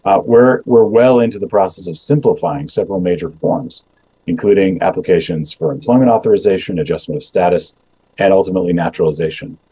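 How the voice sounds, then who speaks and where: Low, dark-voiced government official. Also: male voice, breathy edge, low-quality recording. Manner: measured, many ums and uhs, restarts. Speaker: immigration agency official. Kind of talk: prepared remarks and Q&A answers. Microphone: video call webcam.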